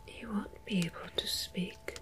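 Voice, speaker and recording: A woman playing a mysterious, gentle tarot medium in an ASMR roleplay, speaking in a soft breathy whisper with deliberate pauses. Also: close to an everyday voice. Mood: mystical, solemn. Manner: slow, hushed, deliberate, with long pauses. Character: mysterious gentle female tarot medium between two worlds.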